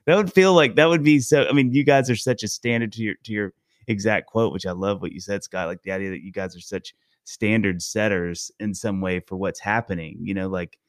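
A man's voice: fairly low pitch, a little fast, no echo. A man hosting a podcast, musing aloud in a playful, self-deprecating way. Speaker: podcast host. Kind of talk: casual podcast chat.

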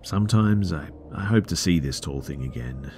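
A man with an extremely deep voice narrates a scary story in a slow, hushed manner, sometimes sinking to a whisper. A faint hiss sits under his voice. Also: lively intonation. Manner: hushed, drawn-out dramatic delivery with whispered words. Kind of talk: scripted horror story narration.

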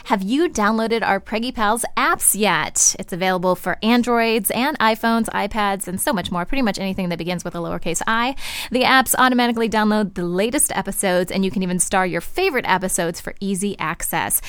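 A woman's voice: mid-range voice, fairly quick, a bit bright, clear, resonant tone, no room sound. A woman hosting a pregnancy podcast in a studio, upbeat and chatty. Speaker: podcast host. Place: radio studio.

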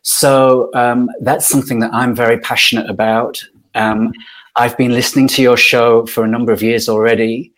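A man's voice: medium pitch, little pitch movement, fairly bright tone, low-quality recording. Thoughtful middle-aged man. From Yorkshire, northern England. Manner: thoughtful and unhurried, with frequent ums and pauses. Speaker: podcast guest. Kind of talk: reflective personal storytelling.

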